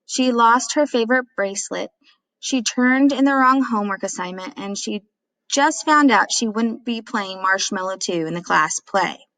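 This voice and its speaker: A woman's voice: on the high side, lively intonation, moderate pace, fairly bright tone, no echo, rough recording. Lady teacher doing a read aloud, explains bits as she goes, really dry close recording. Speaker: teacher reading a story aloud.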